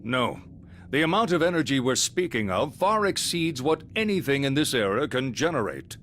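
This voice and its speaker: Expressive, formal, analytical doctor. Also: male voice, mid-range voice, slight background noise. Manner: formal, precise, measured. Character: composed, analytical male doctor. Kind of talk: anime game voice acting.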